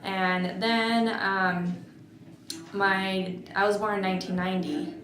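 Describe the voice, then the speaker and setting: A woman in her twenties, born in Mexico and raised in Oregon, telling her family's story in an interview, slow and thoughtful with many pauses. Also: mid-range voice, little pitch movement, roomy sound, background noise. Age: 20s. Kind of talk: oral history interview.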